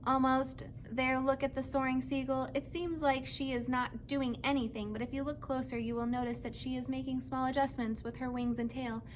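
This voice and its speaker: A woman voicing a patient, protective robot mother who speaks in precise, formal, measured sentences for a children's storybook. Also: high-pitched, little pitch movement, slight background noise, natural voice. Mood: matter-of-fact.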